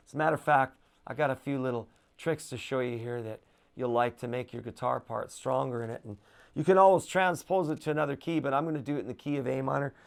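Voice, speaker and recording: A man with a low voice giving a casual guitar lesson, relaxed and chatty, with plenty of fillers. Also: vocal fry. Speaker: guitar teacher.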